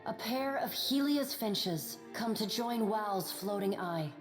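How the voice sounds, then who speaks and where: A female game narrator with a mid-pitched voice reads scripted fantasy prose. Music plays clearly behind her. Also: expressive, slow pace.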